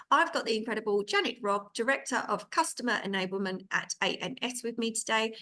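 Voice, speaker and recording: A woman's voice: mid-range voice, pushed and bright. A woman hosting a podcast, interviewing her guest in a conversational style. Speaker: podcast host.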